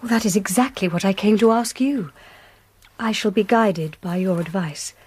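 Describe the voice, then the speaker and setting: An actress with an English accent playing a courteous young Victorian gentlewoman, speaking politely and formally in British radio drama style. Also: mid-range voice, normal speed, breathy edge, natural voice. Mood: polite, grateful.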